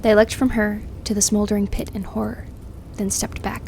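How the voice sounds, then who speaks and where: A woman narrating scripted fiction in an expressive, suspenseful, dramatic way. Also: mid-range voice, normal speed, slight background noise. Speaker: story narrator.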